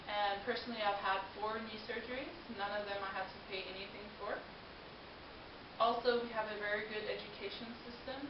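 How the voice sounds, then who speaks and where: A young adult woman from Denmark, a native Danish speaker, giving a prepared informative presentation to an audience. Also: mid-range voice. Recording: distant mic, roomy echo, muffled, hissy noise.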